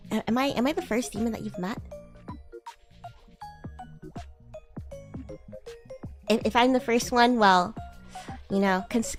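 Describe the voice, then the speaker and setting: Woman playing a high-pitched bratty demon schoolgirl over music. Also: fairly bright tone. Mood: smug. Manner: teasing, haughty, stammering, giggly. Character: bratty, boastful young female demon schoolgirl, secretly flustered. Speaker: VTuber streamer. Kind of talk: improvised roleplay livestream chatting with viewers.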